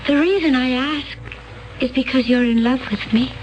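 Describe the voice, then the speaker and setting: An actress playing a calm alien woman from another planet in a 1970s radio drama, speaking in a flat, measured, otherworldly way. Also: sits high, lo-fi sound, a little hiss or hum, natural voice. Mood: serene.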